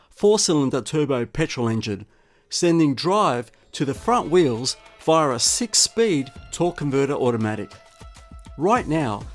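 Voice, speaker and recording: A man narrates a scripted car review. His voice sits a little high and moves with very animated intonation, while music plays softly behind him. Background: music bed.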